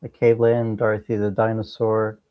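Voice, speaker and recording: A man hosting a podcast, chatting casually in brief, low-key remarks over dull, lo-fi audio. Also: monotone, normal speed, clear, resonant tone. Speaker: podcast host. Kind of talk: casual chat.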